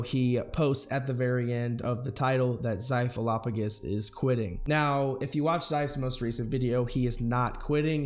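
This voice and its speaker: Young male host, voice hoarse from a sore throat, earnest and indignant, medium pitch. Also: a little mellow. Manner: earnest, indignant, talks to viewers as "guys". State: hoarse, losing his voice. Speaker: news channel host. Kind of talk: scripted commentary video.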